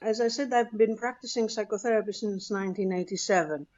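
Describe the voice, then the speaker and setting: A woman, a clinical psychologist speaking on a panel in a measured, thoughtful way with many hesitations. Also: medium pitch, a little mellow, muffled recording. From Greece. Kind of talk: panel discussion.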